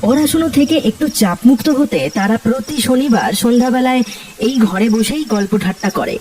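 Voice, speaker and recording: A narrator telling a spooky animated story in a scripted storytelling style. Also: medium pitch, brisk, distant mic, a little hiss or hum.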